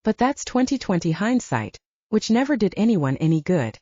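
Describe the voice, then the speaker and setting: Female audiobook narrator, soft dark tone with lively pitch movement, close dry sound. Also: moderate pace, no room sound, highs cut off. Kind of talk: scripted first-person fiction narration.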